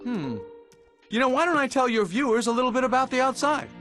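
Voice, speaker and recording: A man's voice: very high voice, brisk. A man voicing an eager, wry young cartoon bee in a video game, with music behind him. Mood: playful storytelling. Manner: chatty, wry, excitable. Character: young adult male cartoon bee, eager wide-eyed rookie. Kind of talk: animated video game cutscene acting.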